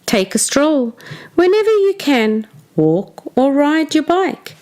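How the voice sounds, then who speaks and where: A woman reading a children's storybook aloud like a teacher, with expressive, deliberate phrasing for young listeners. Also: high-pitched.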